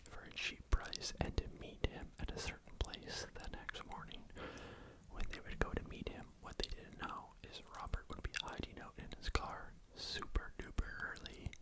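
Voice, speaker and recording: A man telling a true crime story in a soft unvoiced ASMR whisper, casual, with restarts and fillers. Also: rough recording.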